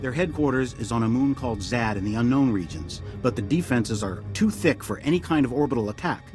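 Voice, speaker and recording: An actor playing a grave, urgent military intelligence director in a video game, briefing in a clipped, earnest way. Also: male voice, mid-range voice, lively intonation, fairly quick, close to an everyday voice. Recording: dramatic music underneath.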